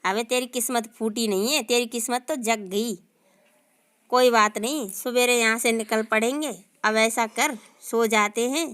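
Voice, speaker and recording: Woman putting on a blustering rough young husband. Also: fairly high pitch, steady tone, measured pace, a bit bright, pitched below the performer's own voice. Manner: blustering, threatening. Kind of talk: comic sketch.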